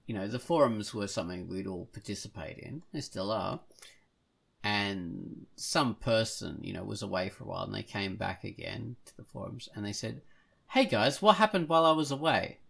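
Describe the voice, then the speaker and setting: Man, low pitch, creaky gravelly voice, casual podcast host, rambling storytelling. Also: fairly bright tone.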